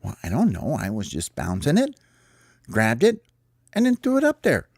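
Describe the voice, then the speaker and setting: A man's voice: medium pitch, huge pitch swings, normal speed, vocal fry, a little mellow, husky, dry recording, studio quality, pitched above the performer's own voice, heavily acted. A man voicing a cheerful, confident young male bird in a storybook tale. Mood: playful.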